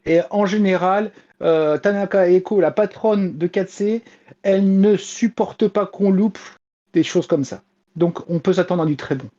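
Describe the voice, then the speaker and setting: Dull lo-fi, mellow woman reviewing manga. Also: mid-range voice, measured pace. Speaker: manga columnist. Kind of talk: casual enthusiastic review.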